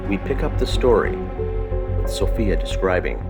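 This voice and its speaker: A man with a low voice narrates a radio play in an old-time style. His scripted delivery sits over a clearly audible music bed. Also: big pitch swings, a little slow. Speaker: narrator of an audio drama.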